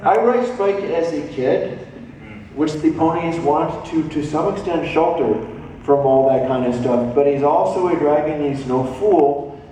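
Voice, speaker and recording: A man's voice: mid-range voice, warm tone. A man informally moderating a convention panel, explaining things in a conversational way. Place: convention panel room with audience. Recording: distant echoey room mic, noisy.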